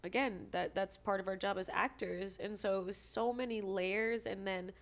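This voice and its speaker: A young adult woman from Maui, an actress guest telling stories in a casual, animated podcast chat. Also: medium pitch. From Maui, Hawaii. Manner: animated, quick storytelling with restarts.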